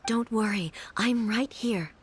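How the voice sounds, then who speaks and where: Composed regal game ruler. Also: female voice, a little high, slight background noise. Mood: gently reassuring. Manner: composed, formal, measured. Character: calm, regal female ruler, stoic and authoritative. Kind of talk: video game character acting.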